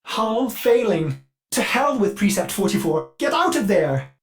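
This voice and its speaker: Fussy, lively male ship computer AI. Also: high-pitched, measured pace. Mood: alarmed. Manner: polite, eager and deferential, breaking into violent outbursts. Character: fussy, devoted ship computer AI, male, with flashes of bloodthirsty rage. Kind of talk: scripted video game character lines.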